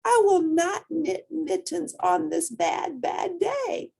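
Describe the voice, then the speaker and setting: A woman's voice: very high-pitched, wildly swooping pitch, slow, vocal fry, darkish tone, pitched above the performer's own voice, heavily acted. A woman voicing a cross, flustered storybook woman who knits, grumbling and scolding dramatically. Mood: cross, wailing.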